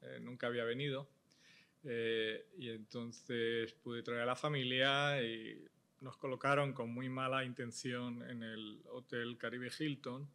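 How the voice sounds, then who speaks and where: A middle-aged man tells his story at an indoor panel talk. His voice is bright and forward, and he speaks into a table microphone. He talks in a measured, reflective way and fills his pauses with a soft "eh". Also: medium pitch, little pitch movement. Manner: measured, thoughtful, with frequent "eh" pauses. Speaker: transplant surgeon on a panel. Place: indoor panel stage before a logo backdrop. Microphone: table microphone on a stand.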